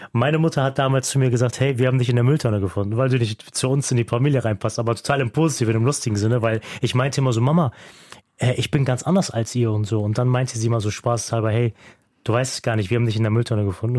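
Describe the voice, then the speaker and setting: A young man telling his own life story with earnest reflection, pausing often with fillers. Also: medium pitch, steady tone, a little mellow, no room sound, studio quality. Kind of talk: personal storytelling in a radio feature. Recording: music bed behind the voice.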